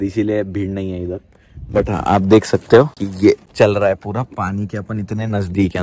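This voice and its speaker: A young man with a fairly low voice chats excitedly to a handheld camera on a travel vlog, slipping English words into his Hindi. He is outdoors on a river, and faint ambient hiss sits under his voice. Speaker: travel vlogger. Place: outdoors by a river and in a small round boat.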